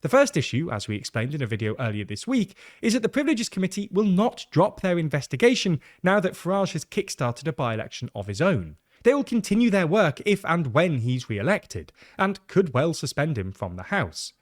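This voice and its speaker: A man's voice: a little high, big pitch swings, fairly quick, few pauses. A man reading scripted narration for a news explainer.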